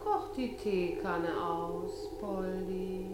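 An actress playing a lazy, scornful, sensual wife in a German radio play, languid and demanding. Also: female voice, on the low side, very slow, very noisy.

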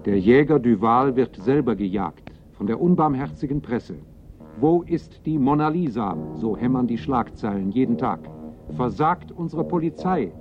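A man's voice: medium pitch, natural voice. Casting: male actor as dramatic, sardonic storyteller for radio drama, mid-range voice, urgent delivery over prominent music. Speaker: narrator. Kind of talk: scripted dramatic narration. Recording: dull old radio recording.

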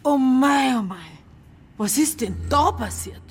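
A woman's voice: high-pitched, expressive, measured pace, over music. A woman playing a blunt, unsentimental widow in a radio drama, with a Bavarian accent and earthy, colloquial speech. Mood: dismayed. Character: blunt, matter-of-fact widow of a murdered small-town man. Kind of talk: naturalistic radio drama acting.

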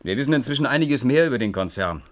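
An actor playing a skeptical, questioning company employee in a German science-fiction radio drama. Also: male voice, medium pitch, expressive, fast talker, close to an everyday voice. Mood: skeptical, inquisitive. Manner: inquisitive, skeptical.

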